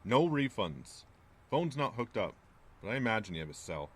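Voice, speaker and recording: An actor playing a gruff, wary small-town landlord in an audio drama, speaking in a curt, folksy, ominous way. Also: male voice, fairly low pitch, very animated, normal speed, a bit bright, exaggerated voice. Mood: stern, curt.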